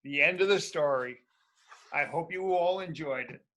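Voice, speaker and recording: A man reading a storybook aloud to schoolchildren in a deliberate, emphatic, expressive way. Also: a little high. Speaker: children's storybook reader.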